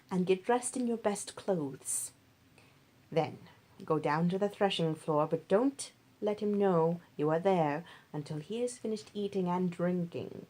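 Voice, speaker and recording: A woman's voice: medium pitch, a little mellow. A woman voicing a kindly older mother-in-law in a Bible story, giving motherly instruction with emphasis.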